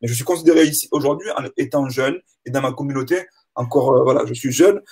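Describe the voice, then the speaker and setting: A man's voice: mid-range voice, quick pace, darkish tone, breathy edge. A man in his forties interviewing a doctor, asking long winding questions while searching for his words. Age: 40s.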